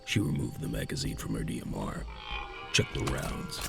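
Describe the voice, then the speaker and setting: A man narrating a scripted science fiction audio drama in a measured storytelling manner. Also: rumbling low, gravelly, soft background music.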